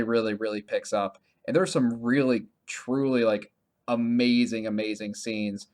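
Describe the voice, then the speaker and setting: A man co-hosting a podcast, talking casually about video games in a halting way, often restarting his sentences and leaning on filler words. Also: medium pitch. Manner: halting, self-correcting, heavy use of "like". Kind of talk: casual conversational game review chat.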